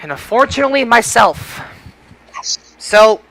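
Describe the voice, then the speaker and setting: A man's voice: very high-pitched, lively intonation, unhurried, bright, forceful tone, dry recording, low-quality recording. A man hosting a casual podcast results show, talking in an announcer-like way and repeating things for effect. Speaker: podcast host.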